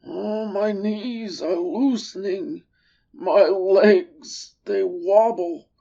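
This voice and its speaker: Woman voicing a very slow, gravelly, weary old camel. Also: medium pitch, mellow, dull audio, heavily acted. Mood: groaning, ashamed. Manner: slow, drawn-out, dramatic delivery. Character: weary, proud old camel, groaning and self-pitying.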